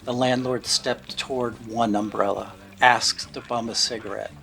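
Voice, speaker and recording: A man reads scripted story narration for a radio drama in a measured, storytelling way. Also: medium pitch, fairly even intonation, vocal fry, pushed and bright. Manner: measured storytelling in present tense, acting out brief quotes.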